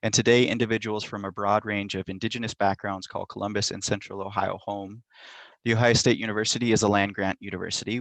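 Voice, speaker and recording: Measured male lecturer, low dry voice. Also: a bit bright, no echo. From Montana, United States. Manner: measured, thoughtful, with frequent ums and uhs. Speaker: university lecturer. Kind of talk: academic presentation over video call. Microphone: computer mic on a video call.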